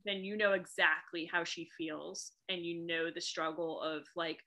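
Bright-voiced chatty woman from New England. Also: lowish voice, fairly even intonation, normal speed. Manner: chatty, laughs often, lots of fillers. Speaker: author guest. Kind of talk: casual chat.

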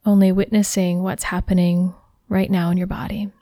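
A woman guiding a body scan meditation in a soft, soothing, unhurried way, with breath at the ends of phrases. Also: lowish voice, level tone, dry recording, studio quality. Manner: soothing, unhurried, gently instructing. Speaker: meditation guide.

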